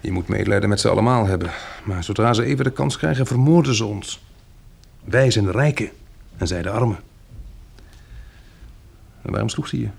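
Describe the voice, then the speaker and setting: An actor playing a level-headed, pragmatic man, a wary and protective disaster survivor in a Dutch radio drama, with a gravelly, husky voice. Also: fairly low pitch, lively intonation, fairly quick, long pauses, heavily acted. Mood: grim, sober.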